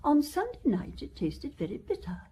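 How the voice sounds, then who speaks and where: An actress playing a dainty, composed elderly widow in a radio drama, earnest and precise with dramatic pauses. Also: female voice, high voice, wildly swooping pitch, dark tone, slight background noise. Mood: grave, recounting. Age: older. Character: dainty, genteel, composed old French widow.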